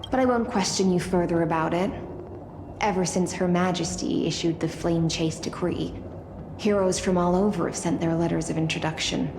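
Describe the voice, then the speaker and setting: Slow, low-voiced, aloof knightly woman. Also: background noise. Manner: measured, formal, coolly wry. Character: aloof, poised female knight of the deep sea. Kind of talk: video game voice acting. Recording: echoey voice over background music.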